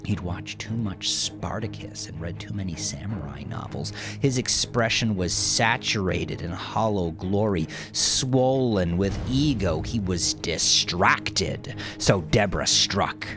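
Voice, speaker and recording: Male narrator for dark fiction, lowish gravelly voice, intense dramatic delivery, slow pacing, scored with music. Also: lively intonation. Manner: intense, theatrical, swinging between hushed and forceful.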